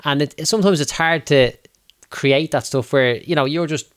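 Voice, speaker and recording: A man's voice: a little high, moderate pace, a bit bright, clean tone, dry recording. Young Dublin man, casual host, chatty, dry close recording. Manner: chatty, swears, laughs easily. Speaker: podcast host. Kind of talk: casual interview chat.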